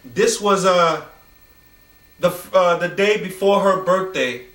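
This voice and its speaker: A man tells an off-the-cuff story in a distinctly high voice. He phrases things slowly and dramatically, leaving deliberate pauses. The sound is roomy and echoey, picked up some way from the microphone. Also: fairly bright tone. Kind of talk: improvised spoken storytelling. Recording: roomy echo, distant from microphone.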